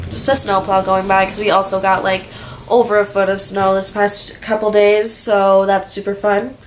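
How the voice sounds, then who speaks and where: A woman's voice: medium pitch, little pitch movement, moderate pace, background noise. A twenty-year-old woman chatting casually in a personal vlog, rambling through stories in a candid, slangy way with plenty of swearing. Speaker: vlogger.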